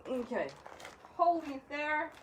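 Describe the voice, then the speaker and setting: A woman's voice: sits high, lively intonation, a little slow, a little hiss or hum. A woman with an Irish accent teaching a live exercise class, cueing each movement and counting reps with encouragement. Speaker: fitness instructor.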